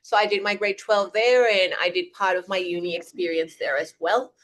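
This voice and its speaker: A woman's voice: medium pitch, fairly even intonation, normal speed, a bit bright. A young woman from Colombia, an English teacher, talking through her career in a casual interview over a video call, with frequent "eh" fillers and restarts. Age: young adult. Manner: chatty, lots of restarts and "eh" fillers.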